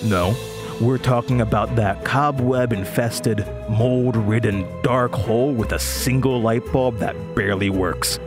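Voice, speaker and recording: A man performs a young American horror radio host in an audio drama, weary and sardonic. He talks slowly at an ordinary male pitch, with a dry, halting delivery. Music plays clearly behind his voice. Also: close to an everyday voice. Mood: showy, spooky. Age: 20s.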